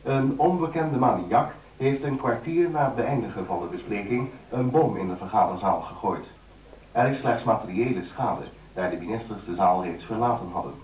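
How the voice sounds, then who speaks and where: An actor playing a grave, formal radio newsreader delivering an old-fashioned bulletin in a radio play. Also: male voice, lowish voice, normal speed, darkish tone, roomy sound, far from the mic, close to an everyday voice, poor audio.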